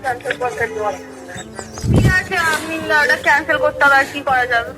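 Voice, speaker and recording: A woman's voice: high voice, lively intonation, fast. A woman in an everyday phone conversation as a customer, speaking matter-of-factly. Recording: phone call under loud music. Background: loud music.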